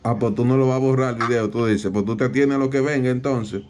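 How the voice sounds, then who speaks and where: A man's voice: mid-range voice, fairly even intonation, fast, a little mellow, natural voice. A man with a Dominican accent playing an aggrieved, protective father who claims to be a police captain on a prank call, insistent and repetitive. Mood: indignant. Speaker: prank caller. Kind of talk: improvised prank phone call.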